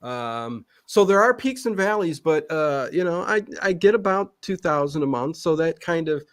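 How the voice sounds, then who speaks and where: An American man from Cleveland chatting casually as a livestream guest, excitable, often repeating himself and interjecting. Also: fairly high pitch, normal speed, no echo, clean studio sound. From Cleveland, United States. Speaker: YouTube channel host, interview guest.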